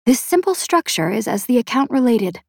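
Woman as high, formal feminine AI. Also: very animated, moderate pace, vocal fry, no echo, clean studio sound, exaggerated voice. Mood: detached. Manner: clipped, formal, literal. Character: emotionless feminine AI inside a floating metal sphere.

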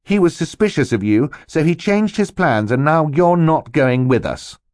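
A man's voice: fairly high pitch, animated, moderate pace, a little mellow, dry recording, clean studio sound, pitched above the performer's own voice, natural voice. A man voicing a brave, clever young boy in an audiobook, earnest and defiant. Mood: triumphant.

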